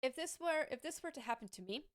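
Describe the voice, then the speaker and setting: A woman's voice: sits high, expressive, fast talker. A woman streamer answering viewer questions in a casual, frank way, stammering often as she repeats sounds and restarts words. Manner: thoughtful and frank, with light laughs.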